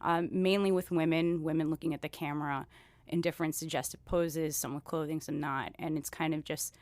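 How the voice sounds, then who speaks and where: A woman from Miami talking casually about her art, her voice sitting low, and her phrases loop and restart with lots of "like." The sound is close and dry, with no room around it. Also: fairly even intonation, brisk, a little mellow, no echo. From Miami, USA. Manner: rambling, many "like" fillers and restarts. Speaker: guest artist. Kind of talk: casual interview conversation.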